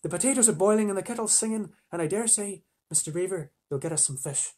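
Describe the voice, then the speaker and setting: A man puts on an elderly she-beaver from a children's storybook. The voice is raised and breathy, with a kindly, homely, motherly manner. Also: high voice, fast, a little mellow, voice pushed up. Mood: delighted, tender. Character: kindly old female beaver, homely housewife.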